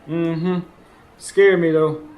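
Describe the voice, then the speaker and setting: A man's voice: a little high, level tone, extremely slow, a little mellow, rough recording. A man acting as a weary, anxious working husband in a radio drama. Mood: weary, musing. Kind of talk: radio drama acting with first-person narration. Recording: faint background noise, live stage.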